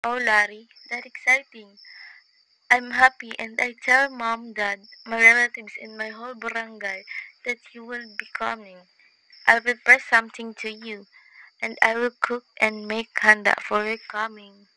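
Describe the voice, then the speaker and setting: A bright, high-voiced young Filipina fiancée, played by a woman, eager and gushing in a radio drama. She speaks simple, Filipino-accented English at a slow pace. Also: flat delivery, no room sound, rough recording. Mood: excited, adoring. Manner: gushing, non-native English with simple phrasing. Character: eager, lovestruck Filipina fiancée, aged twenty-four. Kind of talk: amateur radio drama acting in a phone call scene.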